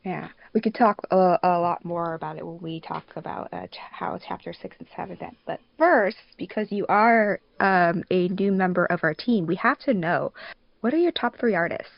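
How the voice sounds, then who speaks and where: A woman's voice: on the low side, moderate pace, creaky. A woman hosting a podcast in a casual, chatty way, often using "like" as a filler. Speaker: podcast host and fandub producer. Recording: dull, lo-fi voice recording.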